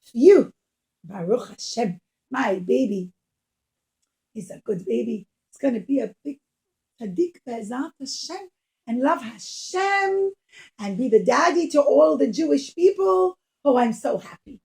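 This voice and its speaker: A high, exclamatory young mother from a children's puppet show, acted by a woman storyteller. She wears every feeling openly, sighing and pleading as she speaks. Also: lively intonation, measured pace, darkish tone. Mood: relieved. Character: anxious, overjoyed expectant mother, puppet.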